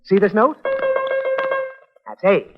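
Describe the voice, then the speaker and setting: A man's voice: high voice, huge pitch swings, slow pace, loud music behind the voice, exaggerated voice. An actor playing an exasperated, long-suffering father in an old-time radio comedy, pleading theatrically. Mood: strained patience. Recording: muffled vintage radio recording.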